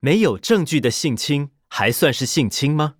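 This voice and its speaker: Audiobook role: man playing a cool, probing lawyer, clipped and domineering, lively intonation, dry recording. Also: fairly high pitch, normal speed, breathy edge, dry recording, voice pushed up. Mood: breezy, domineering. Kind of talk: audiobook character dialogue.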